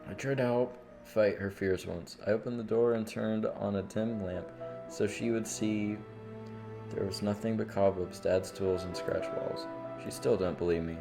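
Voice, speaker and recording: A man's voice: on the low side, steady tone, normal speed, vocal fry. A man livestreaming a game, reading visual novel text aloud and dropping in casual, sweary reactions. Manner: casual, profane asides. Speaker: game streamer. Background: game music with guitar.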